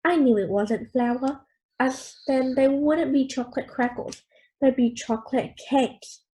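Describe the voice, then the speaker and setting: A female reader telling a children's story aloud in an expressive read-aloud style. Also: high voice, unhurried, poor audio.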